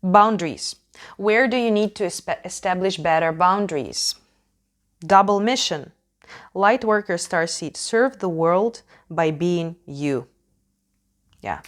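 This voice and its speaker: Ukrainian woman w russian accent doing a casual tarot reading, kinda low voice, halting but emphatic. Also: animated. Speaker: tarot reader hosting a channel.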